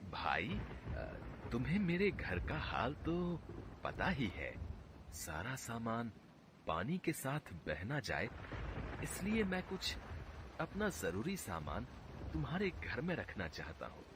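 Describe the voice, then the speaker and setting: A man's voice: medium pitch, huge pitch swings, takes it slowly, background noise. A man voicing a poor, honest village laborer in a cartoon story, speaking in a humble, earnest and somewhat hesitant way. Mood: pleading. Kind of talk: cartoon voice acting.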